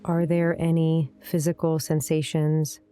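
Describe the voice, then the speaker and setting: A woman leading a guided meditation in a gentle, soothing, unhurried way, with deliberate pauses. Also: deep voice, flat delivery. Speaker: meditation guide, yoga teacher. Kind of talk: guided meditation, scripted narration. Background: soft instrumental music.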